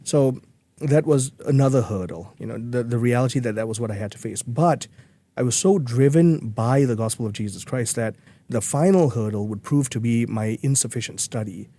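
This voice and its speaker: A man's voice: mid-range voice, normal speed, dark tone. A man from Malaysia, speaking earnestly as a guest in a podcast interview, with frequent restarts. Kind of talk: conversational interview.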